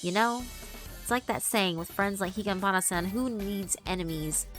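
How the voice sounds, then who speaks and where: A woman's voice: medium pitch, moderate pace, a bit bright, faint music behind, close to an everyday voice. A woman voicing a timid, well-meaning girl who muses to herself hesitantly in a visual novel. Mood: fretful musing.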